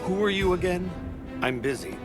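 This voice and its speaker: An actor playing a brusque, distracted, busy researcher in a video game cutscene, curt and dismissive in manner. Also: male voice, high voice, animated, moderate pace. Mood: brusque, impatient. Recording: loud music behind the voice.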